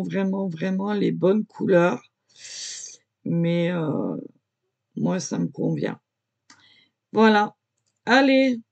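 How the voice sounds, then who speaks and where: A woman's voice: mid-range voice, creaky, a little mellow. A woman casually talking viewers through her drawing, hesitant and full of filler words, prone to coughing as she talks. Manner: hesitant, lots of "voilà" and "euh"; coughs and chokes easily when talking. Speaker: amateur artist showing a drawing.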